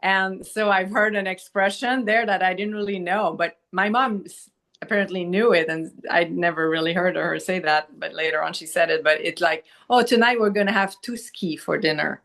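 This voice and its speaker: A woman's voice: on the low side, normal speed, a bit bright. A woman from Quebec with a Quebec French accent, a guest in a podcast interview, talking reflectively and laughing often. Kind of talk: casual conversational interview.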